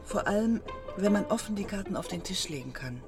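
Actress as candid, teasing wife, coaxing and playful, natural radio play dialogue with music behind. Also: female voice, mid-range voice, lively intonation, fairly quick, natural voice. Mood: candid, probing.

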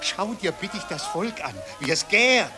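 A gullible Viennese man in the street, in vintage radio satire style. The voice is high and lively, thick with local accent and easily worked up. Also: moderate pace, music in the background. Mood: naive enthusiasm. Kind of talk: 1940s radio satire acting.

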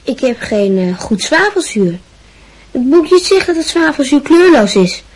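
A woman's voice: high voice, sing-song, a little slow. A woman playing an earnest, curious schoolboy in a radio play, asking questions eagerly. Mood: puzzled.

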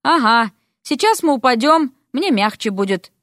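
A woman's voice: high-pitched, expressive, normal speed, a bit bright, no echo, clean studio sound. A woman voicing a bossy young female frog in an audio story, scolding in a blunt, sarcastic way. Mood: scolding, exasperated.

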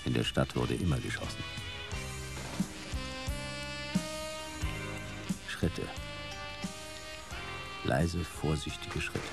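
A laconic noir private eye tells his case in a radio play. His voice is extremely deep and creaky, deadpan, with clear music behind it. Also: exaggerated voice. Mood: brooding. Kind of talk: scripted radio play narration.

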